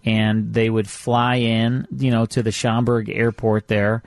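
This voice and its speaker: A man's voice: on the low side, flat delivery, measured pace, no room sound. A middle-aged man, reminiscing casually as a radio host in an enthusiastic, talkative manner. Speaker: radio show host and producer.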